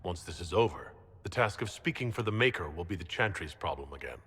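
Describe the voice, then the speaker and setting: Deep, husky young noble mage. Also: male voice, expressive, a little fast, bright, forceful tone, full character voice. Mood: measured, firm. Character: earnest, polite young nobleman mage, leader. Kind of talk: video game voice acting. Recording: long reverb of a hall.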